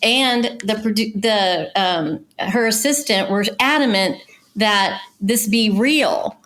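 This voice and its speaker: A woman's voice: medium pitch, takes it slowly, fairly bright tone. Chatty middle-aged woman telling stories. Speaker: casting director telling industry stories as a guest. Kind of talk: casual conversational storytelling.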